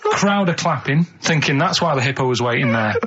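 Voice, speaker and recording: A man co-hosting a radio show, telling rambling anecdotes in a halting, matter-of-fact way. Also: mid-range voice, expressive, lo-fi sound, heavy background noise. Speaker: radio show co-host telling odd stories.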